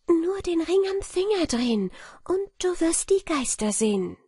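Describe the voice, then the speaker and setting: A woman's voice: very high voice, very animated, measured pace, mellow, no room sound, studio quality, pitched above the performer's own voice, heavily acted. A woman voicing a sly, defiant talking magpie in a fairy-tale audiobook, speaking in an insistent, dramatic way. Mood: triumphant.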